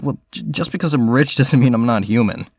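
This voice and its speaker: A man's voice: medium pitch, a little fast, heavy background noise, lightly acted. A young man playing a serious, reserved rich teenage boy in an audio drama, speaking in an earnest, understated and slightly hesitant way. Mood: matter-of-fact.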